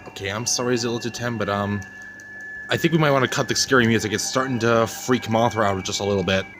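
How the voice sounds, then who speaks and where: A man's voice: lowish voice, fast talker, music in the background, close to an everyday voice. A man playing a sceptical, uneasy monster teammate, speaking plainly and doubtfully in an amateur story roleplay.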